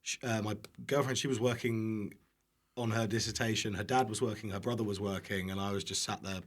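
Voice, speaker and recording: A man's voice: fairly low pitch, steady tone, brisk. A young man chatting casually as a podcast guest, with a self-deprecating manner. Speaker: podcast guest, online cooking creator. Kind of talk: casual chat.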